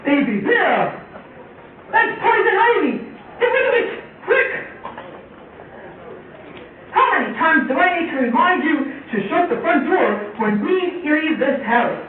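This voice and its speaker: Man playing a high-pitched, animated papa bear. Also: unhurried, pauses often, heavily acted. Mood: alarmed, scolding. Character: gruff, protective father bear. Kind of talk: children's puppet show acting. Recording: very echoey, tinny, distant, faint noise.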